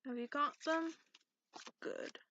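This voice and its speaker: A woman voicing a cranky, sarcastic, centuries-old dragon in a soft-spoken ASMR roleplay, haughty and grudging. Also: sits high, takes it slowly, a little hiss or hum, full character voice. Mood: dry, sardonic. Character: cranky sarcastic centuries-old dragon who looks young, reading tarot. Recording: dull lo-fi microphone sound.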